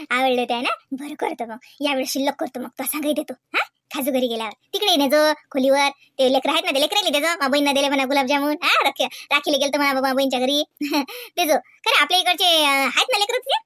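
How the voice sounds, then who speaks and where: A woman acts as a chatty village mother from a comedy cartoon, with a rural Vidarbha Marathi accent. Her voice is very high and bright, full of cooing baby talk and affectionate teasing. The sound is close and dry. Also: fairly quick, no echo. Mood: caring, homely. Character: doting, chatty village mother and elder sister.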